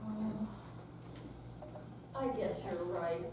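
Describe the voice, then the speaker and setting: Echoey, slow-talking actress as a stubborn elderly mother. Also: medium pitch. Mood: softening. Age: older. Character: stubborn, feisty elderly widowed mother, easily outraged. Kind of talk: staged readers theater reading. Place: senior center room, staged live reading. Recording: very echoey hall, distant mic, hissy noise.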